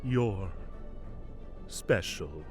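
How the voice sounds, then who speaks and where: A man playing a mischievous, evasive fae king who is secretly fond, theatrical and halting when flustered, in an audio roleplay. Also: sits low, lively intonation, darkish tone, soft background music, full character voice. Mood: tender, bashful. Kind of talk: scripted audio roleplay acting.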